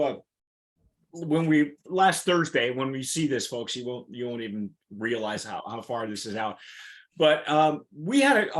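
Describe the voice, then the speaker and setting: A man hosting a casual interview, rambling with many restarts and fillers. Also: a little high. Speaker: host. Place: Southern California.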